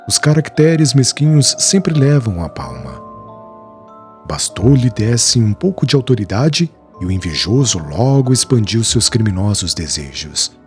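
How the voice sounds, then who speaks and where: Man doing an earnest weaver in an audiobook, solemn and measured, low and lively in pitch, soft music underneath. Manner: solemn, measured storytelling of past suffering. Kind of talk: audiobook character dialogue. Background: faint background music.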